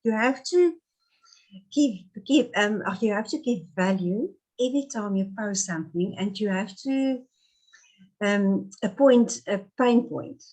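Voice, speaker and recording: A woman's voice: medium pitch, animated, unhurried, a little mellow, low-quality recording. Afrikaans accent lady, 50s, chatty and earnest, lots of um and restarts. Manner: earnest, talkative, with many restarts and fillers. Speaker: guest, therapist. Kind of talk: casual interview chat.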